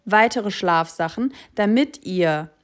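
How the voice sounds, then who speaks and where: A woman co-hosting a podcast in casual chat, talking emphatically with fillers and quick bursts. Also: medium pitch, lively intonation. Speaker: podcast co-host.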